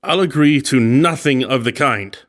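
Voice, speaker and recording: A man's voice: mid-range voice, moderate pace, a bit bright, natural voice. An actor playing a tense, defiant man trapped as a guest, terse, firm and guarded, in an audiobook drama.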